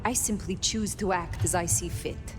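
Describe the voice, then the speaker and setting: An actress playing a stoic, blunt ancient vampire woman in a video game, speaking formally and deliberately. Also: fairly low pitch, over music, natural voice. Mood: stern. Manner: formal, deliberate, terse, direct.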